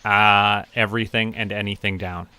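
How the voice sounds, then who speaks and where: A young man streaming a relaxed game, talking to himself and counting under his breath as he plans. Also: lowish voice, normal speed, clean tone. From Canada. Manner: muttering, counting to himself while planning. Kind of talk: relaxed gameplay commentary.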